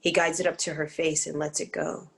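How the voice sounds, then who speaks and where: A woman's voice: low voice, level tone, fairly quick. A woman reading stage directions as the narrator, in a scripted narration style.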